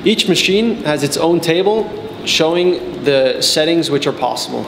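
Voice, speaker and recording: A man in his twenties giving scripted instructional narration as a technical trainer, explaining each step in a measured way. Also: fairly high pitch, animated, vocal fry. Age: 20s. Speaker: technical support and customer trainer. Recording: echoey, reverberant sound with background music. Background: background music.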